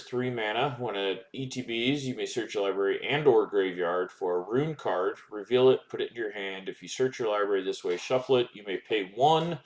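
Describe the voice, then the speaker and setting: Low-voiced man reading out trading cards. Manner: reads card text aloud with frequent ums and uhs. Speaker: card-opening streamer. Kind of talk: casual card-by-card commentary while opening packs.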